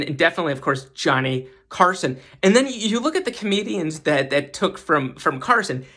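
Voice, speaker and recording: Bright, higher-voiced man, podcast host. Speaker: podcast host giving a guest segment. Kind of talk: casual enthusiastic commentary.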